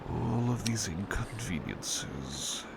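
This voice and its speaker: Man acting as gentle male butler, ASMR roleplay, slow pace, creaky fry, polite, hesitant, fussing, reassuring, close mic, noisy ambience. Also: lowish voice, lively intonation, heavily acted. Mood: soothing, tender.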